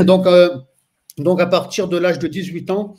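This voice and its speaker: A man in his forties from France, a teacher telling his story as a guest in a relaxed interview, pausing often with fillers. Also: sits high. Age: 40s. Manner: hesitant, frequent pauses and fillers. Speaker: guest, Islamic studies teacher. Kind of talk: casual interview chat.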